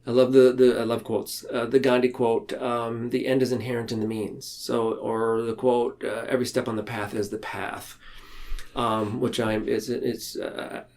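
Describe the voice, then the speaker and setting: A man speaking as a podcast guest in a reflective, conversational way, earnest and halting, tending to ramble. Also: mid-range voice, flat delivery, darkish tone. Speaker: podcast guest, mental health counselor. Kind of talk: reflective conversational interview talk.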